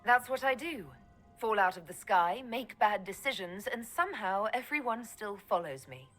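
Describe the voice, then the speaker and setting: Mid-pitched, blunt elven mage heroine. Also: female voice, animated, moderate pace, fairly bright tone, faint music behind. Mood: wry. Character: blunt, self-assured elven mage leader. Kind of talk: dramatic game dialogue.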